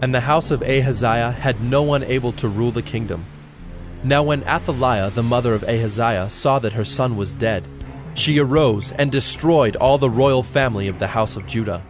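Solemn male Bible narrator, medium pitch. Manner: measured, solemn reading. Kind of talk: scripted dramatized Bible narration.

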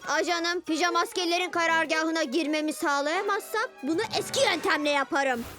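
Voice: extremely high pitch, a little fast. An actor playing a bossy, boastful boy ninja villain in a children's cartoon dub, theatrical and taunting. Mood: commanding. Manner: theatrical, taunting, shouted exclamations. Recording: music behind the voice.

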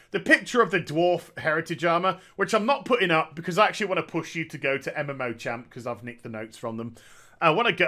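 Male commentator in his thirties, sarcastic and opinionated, bright and slightly high-pitched voice, speaking on a headset at home. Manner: sarcastic, opinionated, jokey asides. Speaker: gaming commentator. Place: small room at home.

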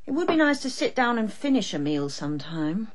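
High-voiced daughter, dryly resentful. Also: female voice, sing-song, a little fast. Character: resentful, dry adult daughter of a politician. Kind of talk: radio drama acting.

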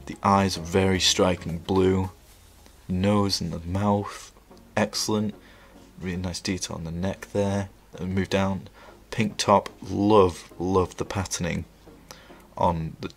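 Man, congested from a cold, gravelly, enthusiastic toy reviewer, indoors, camera mic. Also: sits low. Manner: enthusiastic, chatty. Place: indoors at a table, hands on camera.

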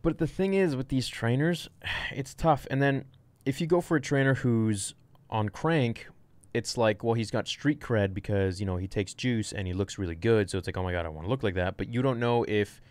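A man's voice: medium pitch, normal speed, hardly any pauses, a little mellow, dry recording. A young man casually answering fitness questions on a home livestream, rambling, frank and swearing freely, recorded by a desk mic in a small room. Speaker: fitness streamer.

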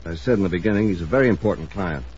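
A man's voice: low-pitched, expressive, rapid-fire, darkish tone, a little hiss or hum. An actor playing an earnest, persuasive insurance company man in a 1950s radio drama. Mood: earnest, evasive. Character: evasive, cajoling male insurance company man. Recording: muffled old radio broadcast recording.

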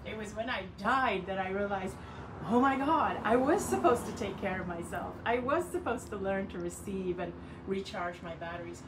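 Self-help vlog: older woman, earnest and warm, recorded outdoors on a phone a few feet away, with the hiss of the yard behind her. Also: medium pitch, moderate pace. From Hong Kong. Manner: warm, earnest, gestures a lot with her hands. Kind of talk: casual talk to camera. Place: sunny backyard garden outdoors. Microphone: phone camera at a few feet. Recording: distant outdoor mic with ambient noise.

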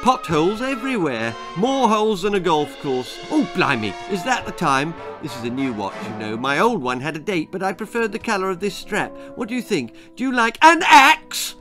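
A man's voice: high-pitched, sing-song, moderate pace, hardly any pauses, voice pushed up. A man reading a story, voicing a frightened boy who chatters nervously, over music. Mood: panicky babble. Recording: narration over loud music.

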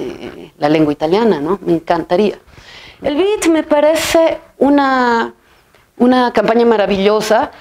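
A woman's voice: medium pitch, a little mellow. A woman in her thirties from Bolivia, a filmmaker and writer, answers interview questions thoughtfully, often restarting her phrases and ending them with a questioning tag. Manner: thoughtful, many restarts and fillers, ends phrases with "¿no?". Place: bright room with a plain wall, seated in an armchair.

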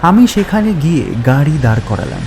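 A man narrating a scripted horror story deliberately, pausing between short phrases. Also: fairly high pitch, big pitch swings, music in the background.

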